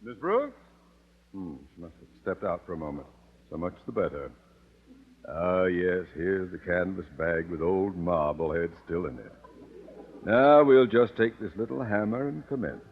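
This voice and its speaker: A man's voice: deep voice, lively intonation, unhurried, breathy edge, full character voice. A man playing a pompous, irritable, scheming middle-aged principal in a 1950s radio sitcom. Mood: smug. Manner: pompous, blustering. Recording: muffled, noisy 1950s radio recording.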